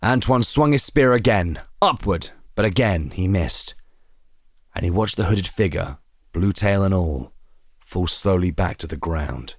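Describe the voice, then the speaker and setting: A male narrator reads a dramatic scripted tale. His voice is very low, and it is recorded dry, with hardly any room sound. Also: lively intonation, measured pace, dry recording.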